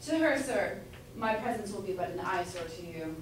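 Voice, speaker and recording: A woman's voice: fairly low pitch, lively intonation, normal speed, lightly acted. A woman playing a stern, haughty old merchant father in a staged reading of a period comedy. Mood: hearty, approving. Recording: echoey room from far off, faint noise.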